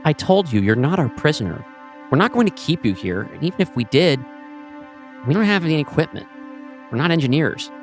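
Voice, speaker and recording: A man's voice: fairly high pitch, sing-song, fairly quick, faint music behind. A man acting in an audio play as a bitter, grieving leader of an armed band of widows. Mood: sincere, pleading.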